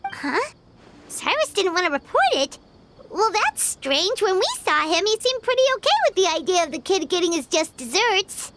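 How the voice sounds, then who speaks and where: A woman voicing a tiny, chirpy, nosy fairy companion in a video game, squeaky and childlike, with cutesy exclamations. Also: big pitch swings, normal speed, a bit bright, slight background noise, heavily acted. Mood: puzzled. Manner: cutesy, exclamatory, refers to herself by name.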